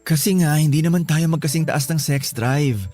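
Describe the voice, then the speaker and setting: An actor playing a tired, exasperated young Filipino husband who pleads reluctantly in a radio drama. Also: male voice, a little high, moderate pace, darkish tone, no room sound, lightly acted. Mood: pleading, frustrated.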